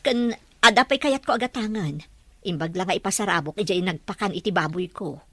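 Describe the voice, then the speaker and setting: A woman's voice: mid-range voice, a little fast, fairly bright tone, dry recording. A woman acting an earnest, middle-aged rural mother with a Filipino accent in an Ilocano audio drama. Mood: homely, practical.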